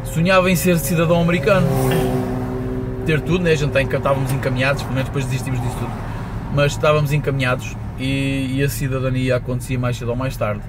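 A man's voice: mid-range voice, level tone, quick pace. A man of around forty with a European Portuguese accent, rambling casually to camera while driving and mixing in English words. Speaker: vlogger. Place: inside a moving van cab. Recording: loud road and engine noise. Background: traffic and vehicle noise.

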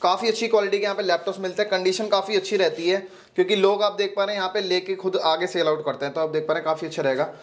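Quick, high-voiced young man hosting a shop vlog. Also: level tone. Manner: upbeat, talks straight to viewers as "guys". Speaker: vlog host. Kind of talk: casual promotional vlog.

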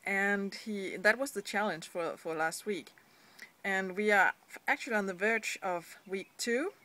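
A Danish-accented woman in her thirties talks straight to her phone camera outside, loose and chatty, with plenty of "um"s and light laughs. Her voice is bright and clear, at a middle pitch for a woman. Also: measured pace. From Denmark. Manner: chatty, with frequent fillers and small laughs. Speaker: vlogger. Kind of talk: casual self-recorded vlog. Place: outdoors beside a brick wall. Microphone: handheld phone camera at arm's length.

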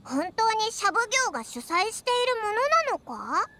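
A woman voicing a small, excitable, childlike fairy companion in a Japanese anime game, squeaky and brash, with boyish phrasing. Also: normal speed, slight background noise, heavily acted. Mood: nervous. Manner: exclamatory, brash boyish phrasing, stammers when flustered. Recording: game music playing behind.

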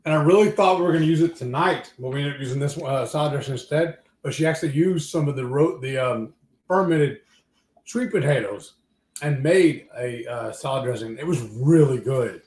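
A man in his thirties, hoarse from being sick, chatting easily while cooking and eating live at home. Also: mid-range voice, moderate pace, darkish tone. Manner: easygoing, chatty. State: hoarse from illness. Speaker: livestream co-host. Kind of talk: casual live cooking chat. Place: home kitchen. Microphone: fixed camera mic at the table. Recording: echoey kitchen room.

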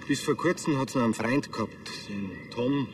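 A proud middle-aged master craftsman , played by a man in a TV drama. His mid-pitched male voice is self-important, with faint outdoor-style ambient noise behind it. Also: moderate pace, natural voice. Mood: sober, explaining. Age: 40s. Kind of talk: naturalistic TV drama acting.

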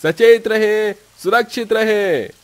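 A man playing an urgent government announcer in a cartoon, making a loud public announcement. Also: sits high, slow pace, clear, resonant tone.

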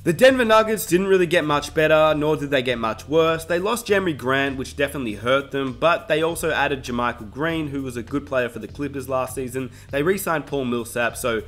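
A man's voice: medium pitch, brisk, hardly any pauses, a bit bright. A young Australian man hosting a basketball channel, delivering emphatic opinion commentary and rising on key points. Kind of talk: opinion commentary over game clips. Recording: faint music underneath.

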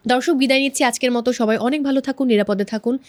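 High-voiced Bangladeshi woman hosting. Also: steady tone, fast talker, a little mellow, no echo. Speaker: TV talk show host. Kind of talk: polite interview presenting.

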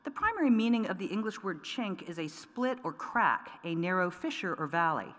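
A middle-aged woman poet reading her work aloud at a lectern microphone, measured and deliberate with pointed emphasis. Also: lowish voice, sing-song, fairly bright tone. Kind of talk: poetry reading. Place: large wood-paneled auditorium, at a lectern. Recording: long reverb of a large hall.

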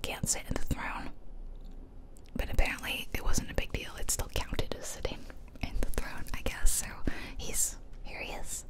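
A woman whispering chatty, self-deprecating commentary over gameplay in a soft, breathy ASMR style. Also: moderate pace. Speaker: ASMR gaming video host.

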